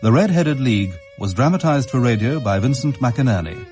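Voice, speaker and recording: A man's voice: mid-range voice, expressive, brisk, darkish tone. A man reading scripted closing credits as a radio announcer. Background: solo violin music.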